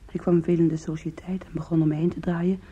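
An actress in a Dutch radio play playing a weary, anguished young woman with a shamed past, confessional, halting and pleading. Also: fairly low pitch, little pitch movement, warm tone, breathy edge. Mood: resigned, bitter. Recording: muffled, lo-fi old radio recording.

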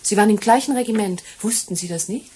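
A woman acting as a helpful, busy office assistant in a radio crime play. She talks frankly and conversationally in a husky voice of average pitch. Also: quick pace, darkish tone, natural voice. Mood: forthcoming. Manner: natural, conversational, forthcoming.

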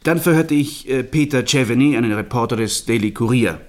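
A man plays a dutiful police sergeant, the comic sidekick of an old radio crime play. His voice is mid-pitched. He sounds eager and a little slow on the uptake. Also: natural voice. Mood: dutifully reporting. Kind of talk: 1960s German radio crime drama acting.

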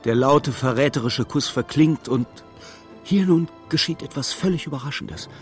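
An actor narrating a dark literary radio play as a solemn, measured storyteller. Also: male voice, medium pitch, faint music behind, close to an everyday voice.

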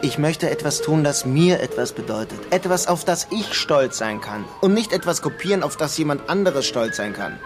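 Actor as wry, disillusioned would-be crook, music behind. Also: male voice, a little high, lively intonation, normal speed, hardly any pauses, close to an everyday voice. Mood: frustrated, proud. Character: disillusioned would-be artist narrating his small-time heist plan. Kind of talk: German radio crime drama, dialogue and reflective narration.

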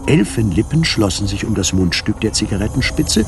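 A man narrating a scripted German radio drama in a measured, literary manner. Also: fairly low pitch, expressive.

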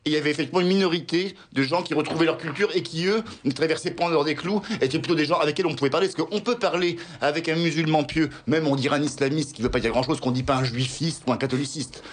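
A man's voice: a little high, very fast, few pauses, slight background noise. A man from the Paris suburbs with a French accent, making a spontaneous, digressive argument in a studio discussion. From Paris suburbs, France. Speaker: panel guest. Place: radio studio.

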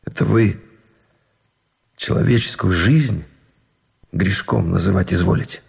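An actor playing a weary, principled Russian lieutenant in captivity in a radio drama, speaking with bitter, formally polite restraint. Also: male voice, low voice, measured pace, gravelly, a little mellow, husky, full character voice. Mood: restrained disgust.

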